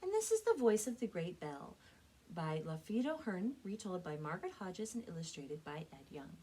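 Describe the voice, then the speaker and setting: A woman speaking as a children's librarian and storyteller, with an expressive, clearly enunciated read-aloud delivery. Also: fairly low pitch, moderate pace, slight background noise, low-quality recording. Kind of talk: children's storytelling and book recommendations.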